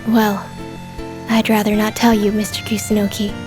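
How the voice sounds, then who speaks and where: A woman voicing a reserved, polite and melancholy young female companion in a manga dub, speaking in a formal, measured, guarded way. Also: medium pitch, completely flat delivery, music in the background, lightly acted. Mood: guarded, pensive. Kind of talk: fan manga dub dialogue.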